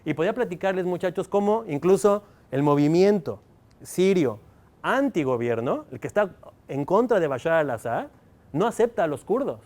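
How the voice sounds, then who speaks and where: Questioning Mexican professor, high-ish voice. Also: male voice, sing-song, moderate pace, darkish tone. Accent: Mexican Spanish. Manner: engaging, fires rhetorical questions at the audience. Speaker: university professor. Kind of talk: lecture to students. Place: university lecture hall.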